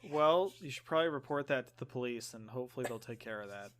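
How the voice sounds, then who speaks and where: A man's voice: mid-range voice, lively intonation, fast. A man co-hosting a gaming podcast, chatting casually with a joking, teasing manner. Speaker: podcast co-host.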